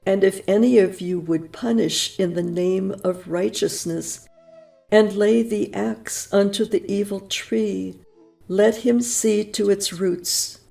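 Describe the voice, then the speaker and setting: Slow, gravelly woman reading poetry. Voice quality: gravelly, low and mellow. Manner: measured and reverent, with long pauses. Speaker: audiobook reader. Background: soft music.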